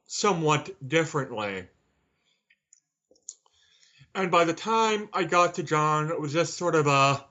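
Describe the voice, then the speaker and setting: A man answering as an interview guest, measured and wry in his manner. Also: a little high, a bit bright, dull audio. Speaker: author, podcast guest.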